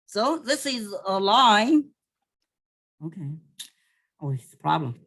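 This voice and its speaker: A woman from southwest China with a Chinese accent, teaching math in a recorded lecture and often pausing to correct herself. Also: mid-range voice, sing-song, a bit bright. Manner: slow, halting, thinking aloud, self-correcting. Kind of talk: recorded lecture over a digital whiteboard.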